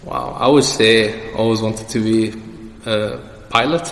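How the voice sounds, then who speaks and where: A man's voice: on the low side, steady tone, unhurried, creaky. A young man answering questions as the guest in a casual personal interview. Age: young adult. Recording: echoey reverberant room with background noise.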